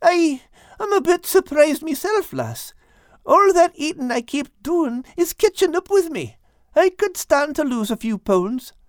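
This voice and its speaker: A plump, jolly male storybook beast, talks in a Scottish accent pitched well up. He is hearty and affectionate, with a bouncy, animated lilt. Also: measured pace, dry recording, studio quality. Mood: fond, sheepish.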